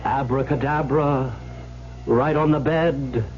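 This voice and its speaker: A man's voice: medium pitch, steady tone, slow pace, close to an everyday voice. A man playing a wry young heir narrating. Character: young male heir narrating his tale, nervy. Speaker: narrator and lead in radio drama. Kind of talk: 1970s radio drama narration. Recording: muffled old radio recording with music.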